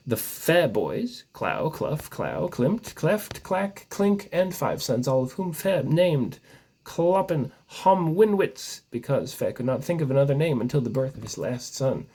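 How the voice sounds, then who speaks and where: A man with a husky voice reading a comic tale aloud in a mock-solemn, theatrical storytelling manner. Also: on the high side, darkish tone. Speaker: story reader.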